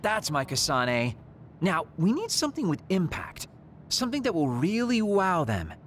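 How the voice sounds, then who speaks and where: Theatrical, swooping young soldier. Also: male voice, high-pitched, moderate pace, soft background music, full character voice. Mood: fretful, eager. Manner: expressive, dramatic. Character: excitable, theatrical young male party-planner soldier. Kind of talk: anime video game dub acting.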